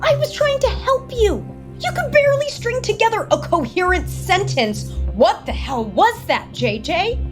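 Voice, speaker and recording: An actress playing a polished, sharp-tongued corporate sister in an audio soap opera, assertive and easily exasperated. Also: female voice, extremely high pitch, sing-song, a little slow, faint music behind, exaggerated voice. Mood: exasperated, angry.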